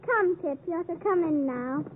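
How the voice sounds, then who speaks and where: A proud, spiteful young girl from a 1950s radio play. She is high-pitched, clipped and disdainful. The recording is narrow and muffled with faint noise. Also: expressive, unhurried. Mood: haughty, provoking. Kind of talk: 1950s radio drama acting.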